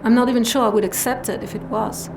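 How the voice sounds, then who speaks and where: A woman's voice: on the high side, steady tone, quick pace, lightly acted. Actress as earnest, guarded young woman, noisy background. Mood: guarded, sincere. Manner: blunt, literal, serious. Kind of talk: audio drama acting.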